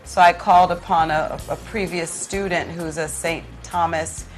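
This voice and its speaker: A woman's voice: lowish voice, fairly even intonation, normal speed, faint music behind, poor audio. A woman teacher speaking as an interview guest, earnest and explanatory in a conversational style.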